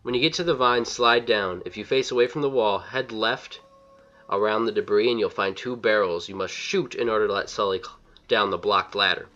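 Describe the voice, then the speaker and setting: Brisk man narrating a game walkthrough. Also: on the low side, sharp tone, highs cut off, soft background music. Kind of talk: casual scripted walkthrough commentary.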